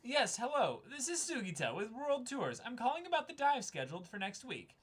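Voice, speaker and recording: Man putting on high-pitched polite tour agent. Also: sing-song, a little fast, a bit bright, heavily acted. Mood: polite, businesslike. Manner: formal, polite. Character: polite business client, a tour company agent.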